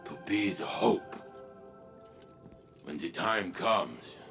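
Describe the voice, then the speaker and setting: Very deep, solemn veteran warrior. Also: male voice, lively intonation, a bit bright, soft background music, exaggerated voice. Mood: solemn, encouraging. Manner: measured, solemn. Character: weary, humble veteran warrior and former commander. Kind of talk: dramatic game cutscene dialogue.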